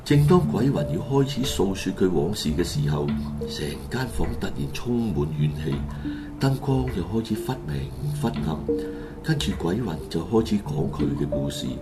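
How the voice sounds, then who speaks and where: A man with a deep voice narrates a radio drama in Hong Kong Cantonese. His delivery swings expressively up and down as a storyteller's does. Loud music plays underneath. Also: big pitch swings, normal speed.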